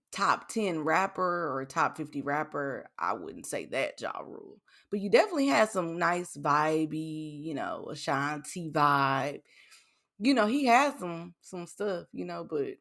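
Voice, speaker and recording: A woman from Texas with a somewhat low speaking voice, chatting loosely as a podcast co-host. She is animated and slangy, quick to laugh. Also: creaky. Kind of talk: casual chat.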